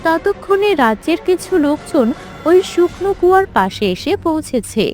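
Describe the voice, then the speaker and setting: A woman's voice: very high-pitched, sing-song, moderate pace, a little mellow, faint music behind. A woman narrator reading a scripted fairy tale for a cartoon.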